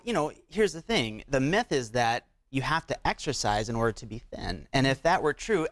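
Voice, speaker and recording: A man talks quickly in a mid-range voice as a doctor guest on a TV interview. He explains in a conversational, promotional style, often checking that the listener follows. The sound is dry. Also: medium pitch, a bit bright, no echo. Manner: explanatory, uses rhetorical check-ins like "right?" and "okay?".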